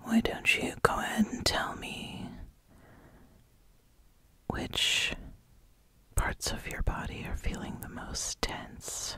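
A gentle, soothing whisper in an ASMR roleplay, speaking as a spa massage therapist to a client. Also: takes it slowly, long pauses, dry recording. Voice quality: soft breathy whisper.

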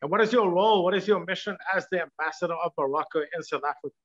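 A man in his thirties hosting an interview over a video call, asking polite, brisk questions. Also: sits high, fairly bright tone, lo-fi sound. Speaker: talk show host. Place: virtual background. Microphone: video call webcam.

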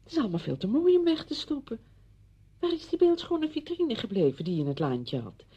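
An actress playing a chatty, meddling woman visiting an old friend in a Dutch radio play, brisk and insistent in manner. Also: a little high, very animated, mellow, highs cut off. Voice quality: husky, creaky.

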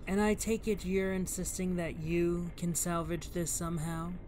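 An actor playing an authoritative police commissioner, diplomatic but stern, with formal and deliberate speech in an audio drama. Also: male voice, sits high, flat delivery, a little mellow, a little hiss or hum, natural voice. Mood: probing.

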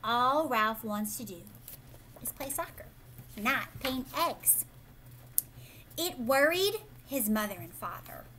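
High-voiced American woman reading to children. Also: slow pace, long pauses, a little hiss or hum. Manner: animated, prompting listeners with questions. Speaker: teacher reading a picture book aloud to children. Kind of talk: expressive children's read-aloud with asides to the listener. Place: living room at home, indoors.

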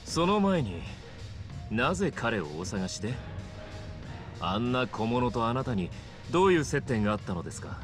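A man's voice: mid-range voice, big pitch swings, normal speed, music in the background, natural voice. An actor playing an elegant, vain male fighter and club owner in a video game, speaking with polished, formal theatricality. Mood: probing, smooth. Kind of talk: dramatic video game acting.

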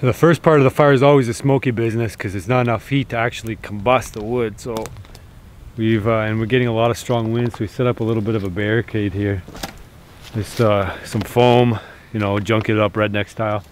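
A man's voice: fairly low pitch, fairly even intonation, fairly quick, a little hiss or hum. Rambling man outdoors. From Canada. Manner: easygoing, rambling, frequent fillers and restarts. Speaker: homesteading vlogger. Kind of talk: casual outdoor vlog talk. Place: outdoors in snowy woods and fields. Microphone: handheld camera at arm's length.

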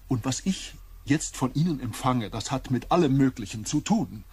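An actor playing a proud, bitter empath in an audio drama, rough-voiced, confrontational and precise. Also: male voice, mid-range voice, lively intonation, moderate pace, darkish tone. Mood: bitter, challenging. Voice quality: husky, rough.

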